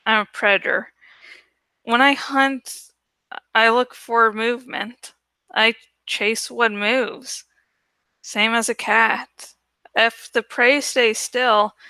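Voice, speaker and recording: A woman plays a defensive teenage boy in a story reading. He is sullen and snappish, pitched high, with a bright edge and slow, deliberate delivery. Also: smooth, dry recording.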